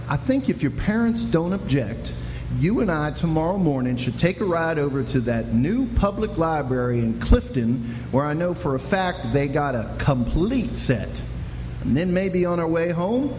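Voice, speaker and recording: A man's voice: a little high, very animated, moderate pace, a little mellow. An American man playing a kindly, patient Old West sheriff in a radio drama, with a folksy, hesitant drawl. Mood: warm, encouraging. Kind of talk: radio drama acting before an audience. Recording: echoey live hall, faint noise.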